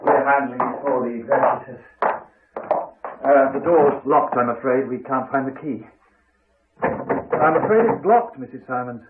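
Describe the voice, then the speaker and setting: An actor playing an awkward, evasive, polite house agent with an English accent, hesitant and apologetic, in a radio drama. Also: male voice, medium pitch, a little slow, long pauses, heavy background noise, natural voice. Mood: hedging, salesmanlike. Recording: dull, band-limited 1980s radio recording.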